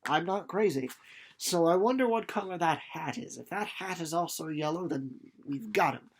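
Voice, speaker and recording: A man's voice: a little high, animated, normal speed, breathy edge. A young man giving a casual, informal book recap, playful and rambling off into asides. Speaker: book reader and presenter.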